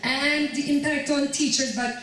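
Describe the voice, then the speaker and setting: A woman giving a conference presentation on a project, talking in a halting way as she searches for her words. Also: high voice, steady tone, fairly bright tone. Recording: very echoey hall, voice from far off.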